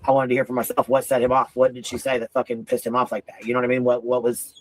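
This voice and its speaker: A man with a deep voice hosting a casual livestream commentary, rambling and profane, often asking "you know what I mean.". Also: little pitch movement, racing, no echo, low-quality recording.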